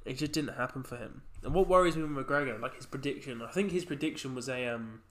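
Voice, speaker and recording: A man's voice: mid-range voice, fast. Young man, podcast host, has a cold, chatty. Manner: chatty, reads out quotes in a punchy voice. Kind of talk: casual chat.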